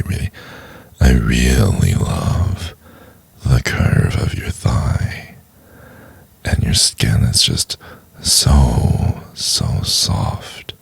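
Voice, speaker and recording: A man playing a domineering, seductive boss in a soft-spoken, intimate ASMR roleplay, with a deep, breathy, gravelly voice and drawn-out delivery. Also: no room sound, exaggerated voice. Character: domineering, seductive big male boss, cruel then doting.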